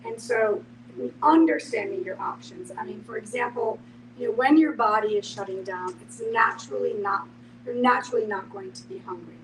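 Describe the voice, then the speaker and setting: A woman's voice: fairly high pitch, moderate pace. A woman earnestly explaining to a discussion group in a conversational way, with everyday examples. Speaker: end-of-life educator in a group discussion. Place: echoey room, away from the microphone. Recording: roomy, distant microphone, clear background noise.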